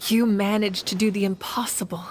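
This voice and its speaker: An actress playing a calm, compassionate leader in a video game, measured and authoritative. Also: female voice, medium pitch, slight background noise, lightly acted. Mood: calm, reassuring. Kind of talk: dramatic video game voice acting.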